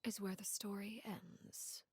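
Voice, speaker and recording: An actress playing a cold, composed, commanding woman in a video game, speaking softly and breathily in measured, formal, deliberate phrases. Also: flat delivery, in a whisper. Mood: reflective, composed. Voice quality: soft, breathy low voice. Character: cold, composed, commanding woman, crime-house leader with a dark past. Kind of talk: video game voice acting, dramatic narrative dialogue.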